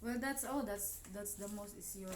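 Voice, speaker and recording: A woman from the Philippines with a Filipino accent, speaking briefly and in a relaxed way as she signs off the vlog. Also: medium pitch, moderate pace. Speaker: vlogger's wife. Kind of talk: casual vlog sign-off. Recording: roomy with background noise.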